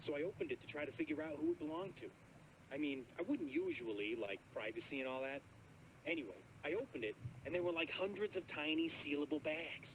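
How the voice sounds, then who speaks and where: A man's voice: fairly high pitch, expressive, fast, slight background noise, lightly acted, rough recording. Man playing a hesitant rideshare driver caller. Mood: uneasy. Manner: hesitant, rambling storyteller. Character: nervous rideshare driver with a creepy story.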